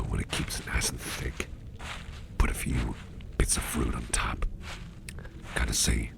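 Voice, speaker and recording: A man in an ASMR roleplay voicing an eager, secretive boss with fangs, speaking in a soft, rambling whisper over clear background noise. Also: rumbling low, full character voice.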